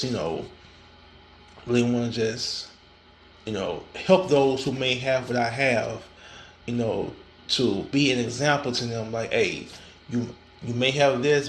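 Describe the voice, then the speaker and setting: A man with a gravelly, mid-pitched voice talks straight to his listeners on his own podcast. He often stalls and repeats words as he goes. A faint hiss sits under the recording. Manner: frequent fillers and restarts, stammering repetitions. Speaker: podcast host. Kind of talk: casual solo podcast talk.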